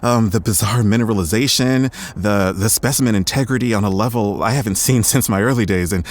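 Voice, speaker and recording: An actor playing a gruff, stubborn paleontologist who speaks wearily and sardonically, quickening when excited. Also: male voice, on the low side, close to an everyday voice. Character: gruff middle-aged male paleontologist, bitter and stubborn. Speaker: doctor running a research center. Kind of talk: audio drama acting.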